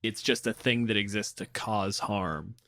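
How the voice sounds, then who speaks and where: A man's voice: fairly low pitch, measured pace, pushed and bright, no room sound, clean studio sound. A man hosting a casual tabletop gaming discussion, explaining his opinions plainly. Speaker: podcast host.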